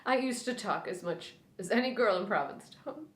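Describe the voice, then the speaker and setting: A woman performing a dramatic stage monologue as a reclusive widow who haltingly breaks a long silence, in short, pained phrases. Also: medium pitch, expressive, voice pushed up, natural voice. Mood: pained, bitter. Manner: halting, short broken phrases, sighing, swinging from hushed to pained. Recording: roomy, echoing indoor sound.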